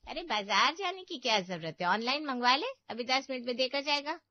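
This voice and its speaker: A woman's voice: high voice, lively intonation, a little fast, dry recording. A woman voicing a boastful, fault-finding elder aunt in a Hindi cartoon family story, with a scolding, exclamatory manner. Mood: nagging. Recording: dull, muffled studio voice track.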